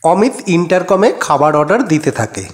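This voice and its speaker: Male narrator for audio detective fiction: higher-set voice, expressive pitch movement, scripted storytelling with music underneath. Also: moderate pace.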